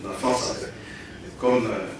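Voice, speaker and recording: A man's voice: fairly low pitch, fairly even intonation, slow. An older man, an audience member at a community meeting, speaking emotionally and hesitantly, with rambling remarks. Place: large meeting room. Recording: echoey hall from far off, noisy.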